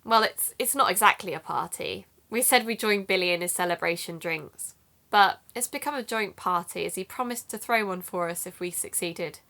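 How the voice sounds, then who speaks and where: A woman playing an amateur detective in an audio drama, speaking in a measured, matter-of-fact, conversational way. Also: medium pitch, pushed and bright.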